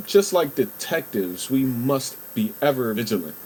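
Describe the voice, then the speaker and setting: A man's voice: mid-range voice, lively intonation, a little slow, darkish tone, slight background noise, close to an everyday voice, low-quality recording. An actor playing an earnest, anxious young man in a radio play, hesitant and easily flustered. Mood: flustered, bluffing. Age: young adult.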